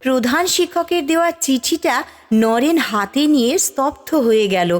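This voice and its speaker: A woman's voice: sits high, expressive, moderate pace. A woman narrating a cartoon folk story in scripted storytelling style.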